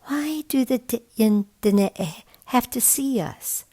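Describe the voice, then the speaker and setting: A woman reader plays an incredulous storybook kid on a quest. The voice jumps up high and swings in pitch, questioning everything with a husky edge. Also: expressive, measured pace, dark tone.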